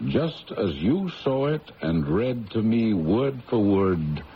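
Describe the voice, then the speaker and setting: An actor playing a grave, reassuring psychiatrist with measured, formal delivery in a radio mystery drama. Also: male voice, on the low side, sing-song. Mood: grave, emphatic. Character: grave, reassuring male psychiatrist, gently authoritative. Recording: muffled, hissy old radio broadcast.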